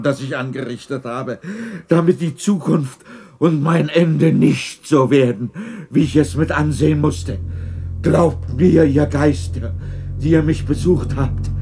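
An actor playing a gruff old miser full of anguish and repentance, husky and emphatic, in a 1960s German radio play. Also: male voice, on the high side, a little mellow. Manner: dramatic, emphatic.

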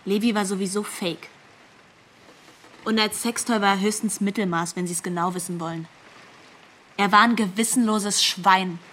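Woman as hurt, scornful young ex-girlfriend, cutting, mid pitch, faint rainy ambience. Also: steady tone, normal speed, pauses often, fairly bright tone, a little hiss or hum, natural voice. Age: young adult. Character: wounded, scornful teenage ex-girlfriend. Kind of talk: naturalistic radio drama, interview testimony.

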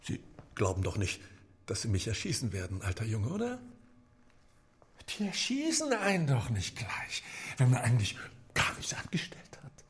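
Raspy, frightened prisoner. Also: male voice, medium pitch, huge pitch swings, full character voice. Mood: fearful whispering. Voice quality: raspy, breathy, creaky. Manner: halting, pleading, drifting into whispers. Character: frightened, pleading male prisoner, eager naive neighbour. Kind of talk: German radio play acting.

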